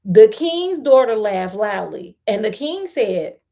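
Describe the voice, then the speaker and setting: A woman with an American accent reads a fairy tale in an animated style, with playful, chatty, slangy asides. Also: mid-range voice, slow pace. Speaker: storyteller and host.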